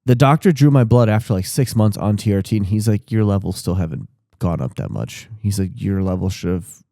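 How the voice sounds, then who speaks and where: A man's voice: fairly low pitch, expressive, a little fast, mellow, no room sound, studio quality. A man in his thirties talking casually on a podcast, candid and rambling, with restarts and casual swearing. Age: 30s. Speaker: podcast co-host.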